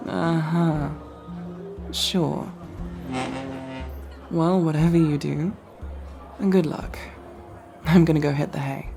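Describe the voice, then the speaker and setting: A wry, loyal elf rogue woman, acted by a female performer, speaks slowly with a very creaky, gravelly grain. She is casual and dryly teasing, in the intimate style of fantasy ASMR roleplay. Faint music plays behind her. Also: deep voice, fairly even intonation, full character voice. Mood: amused, teasing.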